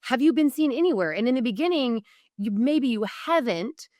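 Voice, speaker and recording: A woman's voice: on the high side, animated, normal speed, a little mellow, no echo. An American woman speaking as a podcast guest, explaining business advice conversationally with emphatic asides and self-interruptions. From Oregon, United States. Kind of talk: conversational interview, informal coaching.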